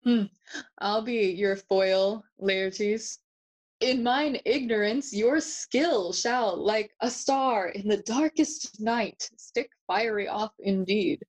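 A woman playing a playful, philosophical young prince in a bilingual audio drama, speaking classical verse with a measured, theatrical manner. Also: mid-range voice. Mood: courteous, wry. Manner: measured, theatrical, switching between English and Spanish.

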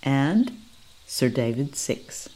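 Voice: medium pitch, animated, very slow, a little mellow, low-quality recording. A presenter giving scripted narration that introduces a series of auditions.

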